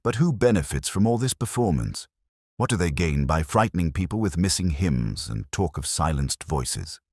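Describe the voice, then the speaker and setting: A man plays an eager police sergeant in a mystery story. He talks plainly and earnestly in a very deep, husky voice, recorded dry and close. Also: sing-song, normal speed, a little mellow, no room sound, heavily acted. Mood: puzzled, eager.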